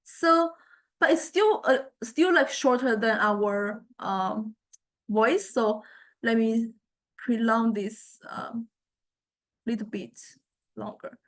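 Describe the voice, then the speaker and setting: Higher-voiced woman giving a halting lecture demo. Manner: halting, thinking aloud while demonstrating. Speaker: music lecturer. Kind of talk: informal software demonstration lecture.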